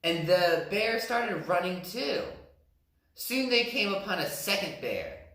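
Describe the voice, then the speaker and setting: A man, a teacher, reading a picture book aloud with slow, expressive narration. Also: sits high. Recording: echoey room.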